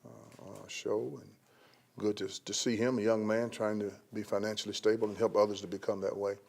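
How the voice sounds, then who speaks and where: A man's voice: fairly low pitch, flat delivery, brisk, vocal fry, darkish tone. Earnest man in his thirties, co-host. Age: 30s. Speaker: co-host, pastor. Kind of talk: casual talk show chat.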